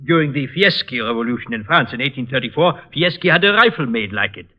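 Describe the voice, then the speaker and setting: An actor playing an earnest, pedantic police ballistics expert with precise, lecturing delivery in an old radio drama. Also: male voice, mid-range voice, animated, fast, lightly acted. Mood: fascinated. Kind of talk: 1940s radio drama acting. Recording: muffled old radio recording.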